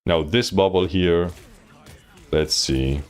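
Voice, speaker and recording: Deep-voiced blunt game coach. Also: male voice, slow pace, soft background music. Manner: blunt, direct, filler-heavy coaching. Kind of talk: live-stream gameplay review.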